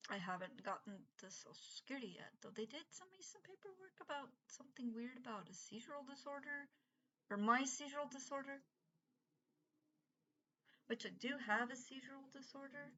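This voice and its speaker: A woman's voice: sits high, sharp tone, a little hiss or hum. Rambling middle-aged woman, lo-fi sound. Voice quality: unusual voice from breathing troubles. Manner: rambling, halting, many fillers and restarts. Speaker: vlogger. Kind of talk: casual rambling monologue.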